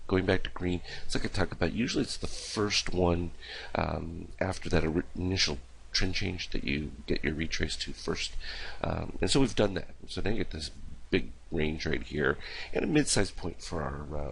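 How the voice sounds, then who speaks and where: A hoarse man with a very deep, heavily gravelly voice, walking through his market charts in a loose, rambling way full of fillers. He talks into a desktop mic, with a faint hiss of noise behind him. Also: low-quality recording. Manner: rambling, filler-heavy explaining. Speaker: market analyst host. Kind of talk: casual recorded market report.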